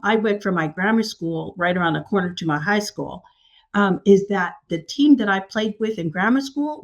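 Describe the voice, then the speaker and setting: A woman from New York City, an executive coach, telling stories as a podcast guest in an animated, enthusiastic way. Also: mid-range voice, highs cut off. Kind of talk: casual interview.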